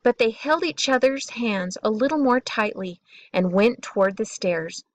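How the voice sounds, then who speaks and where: A woman reading a children's bedtime story aloud in an expressive, deliberate way, drawing out key words. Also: fairly high pitch.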